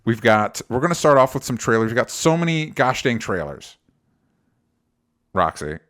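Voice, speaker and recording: A man hosting a casual pop culture podcast, talking informally in a halting, rambling way with self-interruptions and the odd mild swear. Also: medium pitch, big pitch swings, fairly bright tone. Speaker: podcast host.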